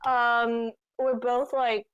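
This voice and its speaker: A woman's voice: fairly high pitch, flat delivery, very slow, a bit bright, clean tone, lo-fi sound. A woman from Quebec with a mixed Quebec French and Chinese accent, a guest chatting casually and giggling. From Quebec, Canada. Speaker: guest comic creator. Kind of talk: casual interview chat.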